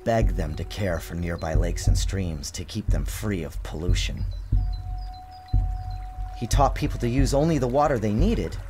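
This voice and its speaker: A man with a low voice tells a storybook tale in a theatrical style. His pitch rises and falls widely as he narrates. Music plays clearly behind him. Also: normal speed. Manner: theatrical, expressive storyteller delivery. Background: music and sound design.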